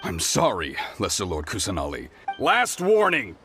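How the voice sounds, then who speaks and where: A man voicing a gruff, stern guard in a video game, with a forceful manner. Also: deep voice, wildly swooping pitch, moderate pace, soft background music, exaggerated voice. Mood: apologetic. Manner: forceful, barking. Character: gruff, stern male guard, deferential to his deity.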